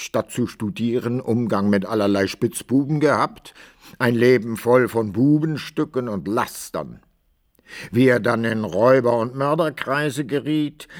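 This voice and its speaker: A man's voice: mid-range voice, lightly acted. An actor playing an old-time author who narrates his hero's adventures in a radio play, emphatic and literary.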